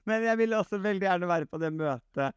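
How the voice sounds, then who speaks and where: A man's voice: high voice, expressive, clear, resonant tone, no room sound. Dry-sounding man, casual banter. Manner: giggly, halting, enthusiastically agreeing. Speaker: podcast co-host. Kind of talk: casual comedic chat.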